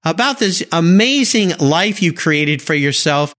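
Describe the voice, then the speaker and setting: A man's voice: a little high, expressive, unhurried, a bit bright. Man, from San Diego, podcast host, effusive, eager interviewer. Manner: enthusiastic, effusive praise for his guest.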